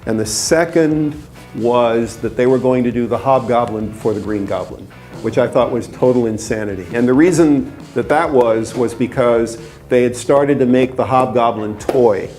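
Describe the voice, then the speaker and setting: A man's voice: mid-range voice, moderate pace, darkish tone, faint music behind. A man speaking as a TV writer in an interview, telling stories in a candid, emphatic way. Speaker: TV animation writer and showrunner. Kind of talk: interview recollection.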